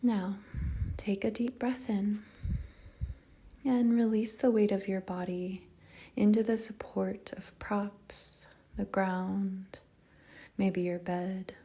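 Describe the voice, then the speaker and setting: Slow, breathy, husky woman guiding meditation. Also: mid-range voice. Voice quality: breathy, husky, soft with whispery edges. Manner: calm, measured, gentle, with short phrases and long pauses. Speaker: yoga nidra meditation guide. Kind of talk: guided meditation, slow soothing narration.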